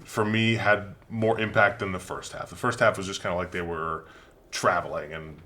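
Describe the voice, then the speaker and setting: A man talking as a panelist in a casual film review chat, in a halting, rambling way. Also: deep voice, fairly even intonation, sharp tone.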